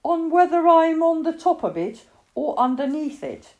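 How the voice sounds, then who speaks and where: A woman's voice: high voice, sing-song, normal speed, darkish tone, dull audio, pitched above the performer's own voice. A woman voicing a proud, simple, earnest male toy bear with a growly voice in a children's storybook.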